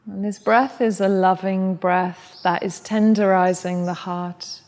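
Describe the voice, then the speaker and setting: A woman guides a meditation in a soft and gentle way, taking her time between phrases. Her voice sits at an ordinary middle pitch. A long reverb hangs behind her words. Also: fairly even intonation. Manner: soft, slow and gentle guidance. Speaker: yoga and meditation teacher.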